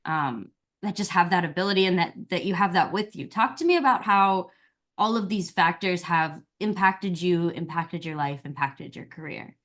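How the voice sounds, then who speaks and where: A woman's voice: lowish voice, moderate pace, a bit bright. A woman from Virginia hosting an interview, effusive and gushing, full of "like" and "you know". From Virginia, USA. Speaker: interview host. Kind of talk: casual interview chat.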